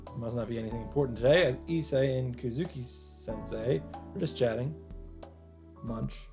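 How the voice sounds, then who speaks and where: A man's voice: medium pitch, moderate pace. Casual male let's player over game music. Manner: reacts with asides to the story. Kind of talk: casual commentary reading a visual novel aloud. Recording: music behind the voice.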